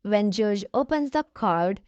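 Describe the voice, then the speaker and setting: A woman reading a picture book aloud to young children as the host, in an expressive, child-directed manner. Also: mid-range voice, measured pace, no room sound, highs cut off. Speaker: storybook reader, channel host. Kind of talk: children's read-aloud narration.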